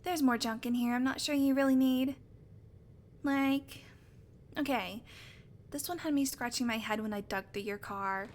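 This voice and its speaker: Woman acting a high-voiced sardonic vampire woman. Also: moderate pace, pauses often, close to an everyday voice. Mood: teasing, bemused. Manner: sarcastic, teasing, casually profane. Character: sardonic female vampire, unrepentant predator, teasing. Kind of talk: audio roleplay acting, conversational monologue to listener.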